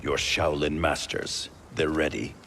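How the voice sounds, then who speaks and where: Very deep, gravelly, stern warrior. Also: male voice, little pitch movement, unhurried, very noisy. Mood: grave, resolute. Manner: grave, formal, blunt. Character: stern, distrustful male warrior, very deep-voiced. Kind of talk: dramatic video game acting. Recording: loud film score behind.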